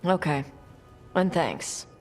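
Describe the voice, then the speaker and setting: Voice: mid-range voice, very animated, slow pace, darkish tone. Casting: blunt, self-conscious young fantasy game character, natural delivery, heard in long reverb with music underneath. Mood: awkward, shy. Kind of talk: video game cutscene dialogue.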